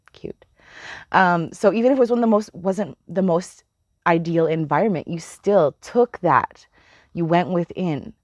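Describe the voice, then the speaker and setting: A woman giving a casual spiritual card reading, chatty and meandering, with a slightly hoarse voice. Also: fairly low pitch, dry recording. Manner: chatty, meandering, laughs often, swears casually. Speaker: tarot reader.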